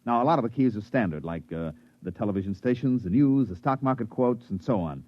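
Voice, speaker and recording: A man's voice: medium pitch, animated, fast talker, no room sound, natural voice. An actor playing a wisecracking, put-upon repairman in 1950s radio drama, with a wry, colloquial delivery. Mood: salesmanlike. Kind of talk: 1950s radio drama acting, first-person narration and dialogue. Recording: dull vintage radio recording.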